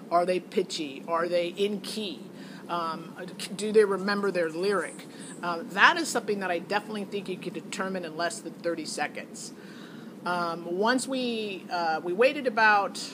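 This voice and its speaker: A woman's voice: fairly low pitch, fairly bright tone, low-quality recording. There is light background noise on this amateur recording. A middle-aged American woman talks off the cuff in a casual vlog style. She is opinionated and digressive, circling back to her point as she goes. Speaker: parent vlogger. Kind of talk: casual unscripted monologue to camera.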